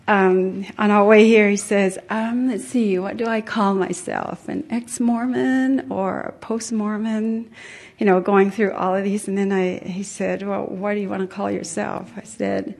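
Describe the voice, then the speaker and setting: A middle-aged woman from Tonga speaks informally to a group, sharing a personal account. Her pace is very unhurried, with frequent pauses, and her pitch sits in an average range for a woman. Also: steady tone, creaky, darkish tone. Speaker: attendee sharing personal story at a conference.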